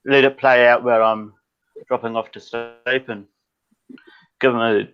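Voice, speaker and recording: Halting, stammering man on a call. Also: mid-range voice, steady tone, highs cut off. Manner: halting, with many stammered restarts. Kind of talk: casual live stream chat.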